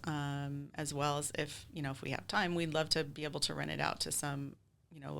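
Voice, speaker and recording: A woman's voice: low voice, creaky, fairly bright tone, clean tone. A woman speaking conversationally as a theater group president being interviewed, explaining things in chatty, run-on detail.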